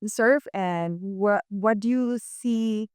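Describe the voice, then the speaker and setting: Woman hosting an interview, clear smooth voice. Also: mid-range voice, slow pace, no room sound, studio quality. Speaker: host, interviewer. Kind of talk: moderated panel interview. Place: indoor studio, seated panel on sofas.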